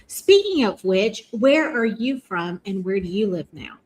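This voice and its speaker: A woman's voice: mid-range voice, sing-song, a little slow, darkish tone, rough recording. A woman hosting an interview, asking casual, friendly questions. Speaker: podcast host.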